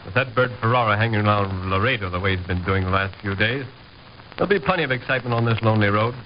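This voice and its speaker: An actor playing a brisk, alert border patrolman with a clipped, matter-of-fact manner, in old radio drama style. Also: fairly low pitch, animated, lightly acted. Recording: dull, faintly noisy vintage radio recording.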